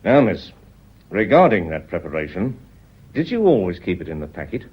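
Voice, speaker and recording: Radio play, British police inspector: deep, animated, deliberate and politely insinuating, on a dull recording. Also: male voice, husky, heavily acted. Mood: probing, wry. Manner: measured, formally courteous, insinuating. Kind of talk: British radio drama acting. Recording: dull, band-limited radio drama recording.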